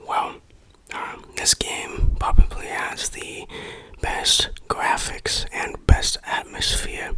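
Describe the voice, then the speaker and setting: An ASMR game reviewer speaks entirely in a whisper, with a bright, crisp edge to the breath. The delivery is very slow and deliberate, with a playful touch. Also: low-quality recording.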